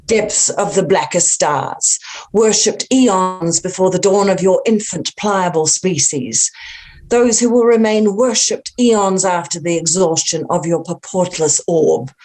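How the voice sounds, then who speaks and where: A woman's voice: lowish voice, a little slow, a little mellow, natural voice. A woman playing an ancient, inhuman cult acolyte in a horror script read, speaking with grandiose, taunting deliberation. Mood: sinister, grandiose. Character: sinister ancient female thing, cultic acolyte of cosmic gods, grandiose, taunting. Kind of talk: dramatic horror table read.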